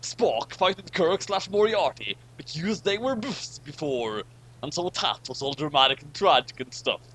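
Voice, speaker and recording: A man reads comic fan fiction in a theatrical way, with a slightly high voice that swings widely in pitch. He takes his time and stumbles over clumsy wording. There is some background noise behind him. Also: expressive, a little slow, a bit bright, rough recording. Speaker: narrator reading fan fiction aloud. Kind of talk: dramatic comic reading of badly written fan fiction.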